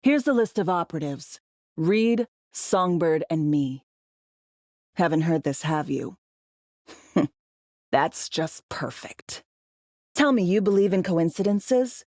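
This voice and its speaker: Brash, cynical game spy, close dry sound. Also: female voice, lowish voice, expressive, takes it slowly, long pauses, darkish tone, dry recording. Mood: wry, sardonic. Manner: clipped, slangy, sardonic, blunt. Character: brash, foul-mouthed, cynical female spy, world-weary and wisecracking. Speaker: undercover field agent in a sci-fi game. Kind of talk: video game character dialogue.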